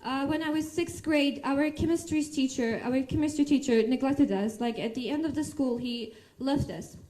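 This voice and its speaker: A woman's voice: high voice, moderate pace, mellow. A young woman, arguing spontaneously as a student debater. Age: young adult.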